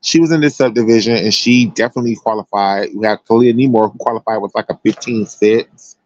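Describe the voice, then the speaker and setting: A man's voice: lowish voice, a little fast, a little mellow, no room sound. American man chatting, dry slightly muffled sound. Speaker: livestream host and gymnastics commentator. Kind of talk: casual sports commentary to live viewers.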